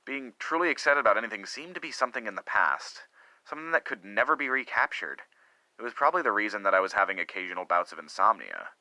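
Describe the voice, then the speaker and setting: A man playing a guilt-ridden, lovesick middle-aged husband in an earnest, theatrical confession with dramatic pauses, like a staged reading of a story. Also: on the low side, lively intonation, sharp tone, dry recording, full character voice, poor audio. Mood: brooding, guilty. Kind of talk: melodramatic dramatic reading of a first-person confession.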